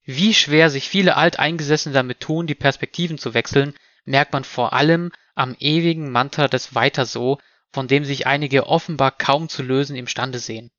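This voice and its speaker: A man hosting a discussion podcast, speaking in a measured, formal and articulate way. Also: medium pitch, steady tone, fairly bright tone, no echo, lo-fi sound. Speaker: podcast host moderating a panel. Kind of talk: scripted introduction, moderated discussion.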